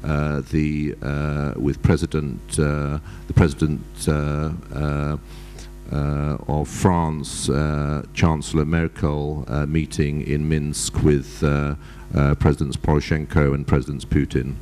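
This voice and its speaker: A man with a very low voice delivers formal remarks at a conference panel, pausing often to search for words. His speech comes through a conference microphone with a faint mains hum underneath. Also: level tone, warm tone. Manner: measured, many hesitations. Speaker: panelist, ambassador.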